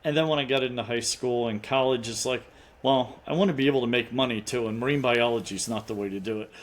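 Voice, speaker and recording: A man's voice: mid-range voice, quick pace. A middle-aged man with a Philadelphia accent, talking as a podcast guest. He rambles a little, with restarts and fillers, in a loose, conversational way. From Pennsylvania. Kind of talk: casual interview conversation.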